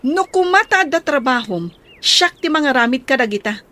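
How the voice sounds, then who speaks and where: A woman's voice: sits high, animated, normal speed, bright, forceful tone. An actress playing a harried, bossy working wife and mother in a radio drama, insistent and nagging.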